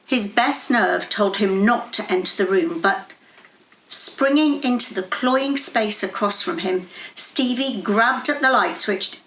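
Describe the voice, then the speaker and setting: A woman reading literary fiction aloud in a measured, dramatic storytelling manner. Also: mid-range voice, a bit bright, reverberant, rough recording. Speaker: story reader. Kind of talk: scripted literary narration.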